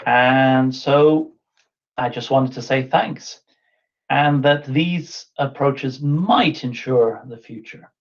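A man's voice: medium pitch, slow pace. A man in his thirties giving an online talk and answering questions, thinking aloud with restarts and fillers, over a webcam video call. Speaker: invited speaker. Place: indoor room with whiteboard. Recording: dull lo-fi video call audio.

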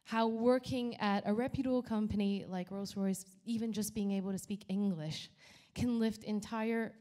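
Brief: middle-aged woman, founder pitching at an event, dark mellow tone, handheld mic. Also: steady tone, normal speed. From Canada. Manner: enthusiastic, with small restarts. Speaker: company founder giving a pitch. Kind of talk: prepared stage talk. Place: event venue with brick wall and audience. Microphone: handheld microphone.

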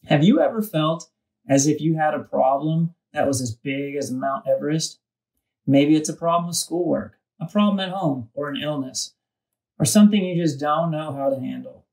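A man's voice: on the high side, normal speed, creaky, a little mellow. A man reading a scripted devotional for children, recorded at some distance from the microphone. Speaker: kids' devotional reader.

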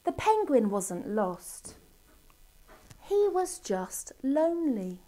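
A middle-aged woman reading a children's storybook aloud expressively, with deliberate dramatic pauses. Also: high-pitched, big pitch swings, mellow. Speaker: storybook reader. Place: indoor room by a Christmas tree. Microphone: camera a short distance away.

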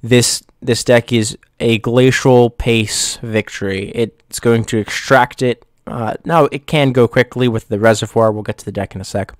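A young man hosting his channel, giving relaxed gameplay commentary, his voice a bit off from getting over the flu, thinking aloud with pauses and restarts. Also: mid-range voice, dry recording, studio quality. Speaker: YouTube channel host. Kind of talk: casual gameplay commentary over screen recording.

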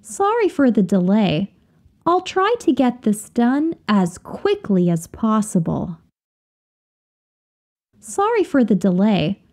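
Woman teacher reading drill lines real slow, warm mellow tone, very sing-songy. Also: medium pitch, sing-song. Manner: careful, deliberate, each phrase repeated for learners. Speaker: language teacher reading example sentences. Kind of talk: slow, clear drill reading of example phrases.

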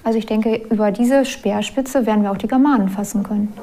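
A woman's voice: mid-range voice, fast talker, dark tone, a little hiss or hum. A woman explaining finds as an archaeologist in a documentary interview.

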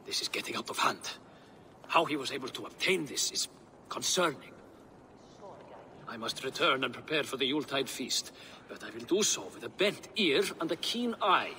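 A man's voice: medium pitch, expressive, moderate pace, sharp tone, slight background noise. A middle-aged man playing a guarded Norse ruler in a video game, formal and emphatic. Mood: troubled. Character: guarded, concerned male Norse ruler, husband. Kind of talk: dramatic video game cutscene acting.

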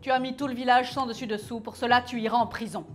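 A woman's voice: fairly high pitch, fairly even intonation, fast, soft background music, natural voice. A woman voicing an exasperated male village chief who sternly hands down a sentence. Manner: sharp, commanding.

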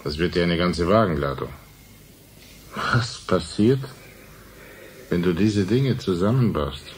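A man's voice: deep voice, animated, slow, slight background noise. A man playing a wry middle-aged uncle, a writer, in a German radio drama, with a dry, ironic manner. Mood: sceptical.

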